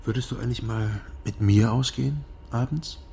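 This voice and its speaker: A man's voice: mid-range voice, moderate pace, vocal fry, dark tone, a little hiss or hum, natural voice. An actor playing a laconic, probing male private detective, understated, in a radio crime drama. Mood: casual coaxing.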